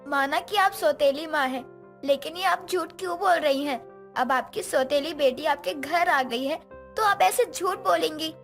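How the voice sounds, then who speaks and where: Young stepdaughter for a moral tale, high and theatrical , played by a woman. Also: moderate pace, fairly bright tone, faint music behind. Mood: accusing. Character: young stepdaughter, vengeful schemer who later repents. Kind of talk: dramatized Hindi moral story acting.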